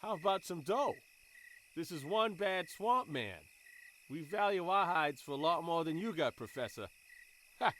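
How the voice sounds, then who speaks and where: A man's voice: fairly high pitch, lively intonation, unhurried, a bit bright, slight background noise. A man playing a tough, cocky young gang leader in an audio drama, with streetwise, swaggering talk. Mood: cocky, bargaining.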